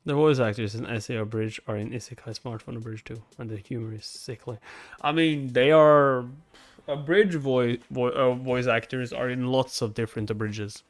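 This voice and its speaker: A man's voice: medium pitch, steady tone, moderate pace, dry recording. A man doing a live reaction read-along, with crude, jokey asides and plenty of swearing. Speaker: reaction video host reading comics aloud. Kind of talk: live reaction and read-along, acting out dialogue between comments.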